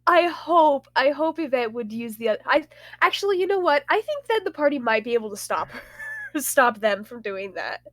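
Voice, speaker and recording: Woman, game player, bouncy high-ish voice, quick jokes. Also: very animated, fairly bright tone, no room sound, clean studio sound. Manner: jokey, quick interjections. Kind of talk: casual podcast chat.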